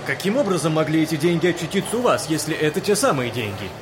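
A man's voice: on the high side, very animated, quick pace. A man playing a formal, stern presiding judge in a theatrical audio drama, questioning a witness in an official, insistent manner. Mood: incredulous. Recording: dramatic music behind the voice. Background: music.

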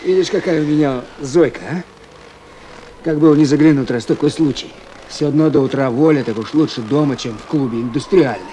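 A man playing a talkative small-time offender in an old radio drama. The voice is fairly high yet soft-edged, ingratiating and mock-rueful, with homely colloquial phrasing. Faint noise sits under the old recording. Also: fairly quick, lightly acted. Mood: pleading, chatty. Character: garrulous petty offender pleading with an official. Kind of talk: 1970s Soviet radio play acting.

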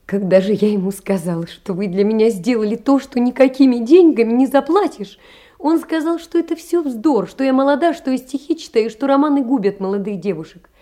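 An actress playing a poor, earnest young woman confiding in a dear friend, in a measured audio-play monologue. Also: a little high, warm tone, natural voice. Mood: distressed, earnest. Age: young adult.

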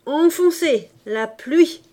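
A woman's voice: mid-range voice, expressive, measured pace, natural voice. A woman reading aloud, voicing a cheeky, streetwise Paris urchin boy who talks in brash, bossy slang. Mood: mocking.

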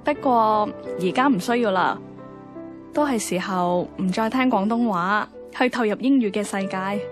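A woman's voice: medium pitch, normal speed, lightly acted. A woman acting as an earnest young woman reading a heartfelt letter in a radio drama, with a reflective, sincere Hong Kong Cantonese delivery. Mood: wistful, reflective. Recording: music behind the voice.

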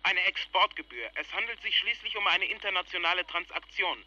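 An actor in a radio drama playing a smooth, formal scam agent who stays unruffled over a telephone line. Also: male voice, high-pitched, fairly quick, sharp tone, exaggerated voice. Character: smooth, unbothered male scam agent demanding fees by phone. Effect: telephone filter. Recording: dull, humming phone line.

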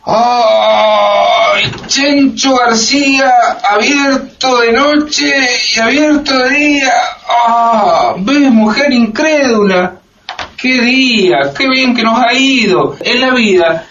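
Eager, high-voiced immigrant banker. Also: male voice, slow pace, highs cut off, a little hiss or hum, full character voice. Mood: triumphant. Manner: animated, hearty, repeats himself eagerly. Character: Chencho García, eager, boastful, good-natured Latin American immigrant banker. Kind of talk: radio drama acting.

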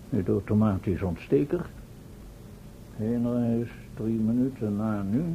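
An actor playing a brisk, commanding professor and inventor in an old Dutch radio play, lecturing and impatient. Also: male voice, dark tone. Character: brisk, commanding older male professor, eager inventor of time machine. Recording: dull, noisy old radio recording with rumble.

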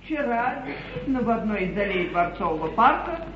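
A commanding English duchess played by a stage actress declaims with cool authority. Her voice swings widely in pitch and reaches us from off in an echoey, vintage theatre recording. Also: very animated, fairly quick, a crowd in the background, lightly acted. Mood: cool, authoritative. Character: imperious, commanding English duchess at the royal court. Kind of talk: 1950s classical stage comedy acting. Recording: distant dull 1950s theatre recording, echoey, hiss.

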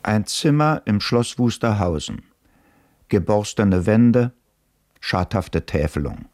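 Brief: male narrator, deep and unhurried, sober scene-setting. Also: very animated, a little mellow, no echo. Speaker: narrator of stage directions. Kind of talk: scripted radio play narration.

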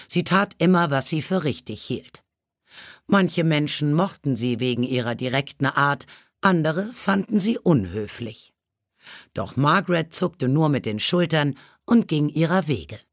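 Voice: mid-range voice, no room sound, clean studio sound. A narrator reading scripted biographical stories in a storybook style. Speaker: audiobook narrator.

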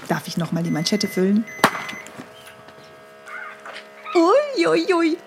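An actress playing a gushing, pushy nurse in a satirical radio play, coaxing in a falsely sweet sing-song manner. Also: female voice, sits high, huge pitch swings, moderate pace, over music, heavily acted. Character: gushing, pushy female nurse egging a patient on. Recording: noisy scene with clicking sounds.

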